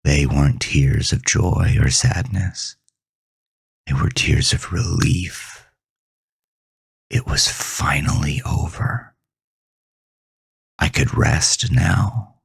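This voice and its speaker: A man playing a grieving, haunted farmer who narrates a horror audio drama in a brooding, deliberate, theatrical way. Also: a bass voice, dry recording, clean studio sound, full character voice. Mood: grim, haunted. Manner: brooding, deliberate, theatrical, dropping at times into breathy near-whisper. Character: grieving, haunted farmer telling his supernatural ordeal.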